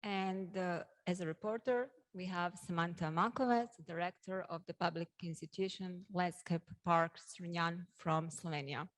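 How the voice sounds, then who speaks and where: A woman with a Croatian accent and a slightly low voice introduces a conference session as its moderator. She addresses the audience in a hesitant way, pausing often as she goes. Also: steady tone.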